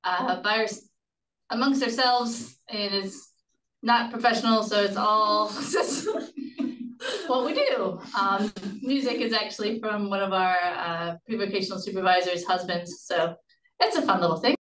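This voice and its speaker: A woman's voice: medium pitch, unhurried. Chatty woman, echoey and distant. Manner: relaxed, chatty. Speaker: webinar presenter. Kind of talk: casual webinar presentation.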